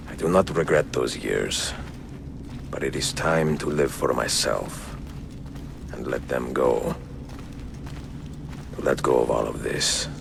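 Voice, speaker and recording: Weary old italian assassin, super deep gravelly voice, solemn n measured, game cutscene w noise behind. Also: male voice, heavily acted. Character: weary, reflective veteran Italian assassin, noble and warm. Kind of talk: dramatic game cutscene dialogue and letter narration.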